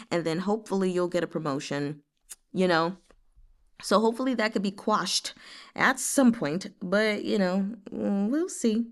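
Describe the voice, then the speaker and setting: A woman hosting a book read-along, talking casually and expressively and drifting into asides. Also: lowish voice. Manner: expressive, digressive, giggly asides. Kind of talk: casual chatty book discussion.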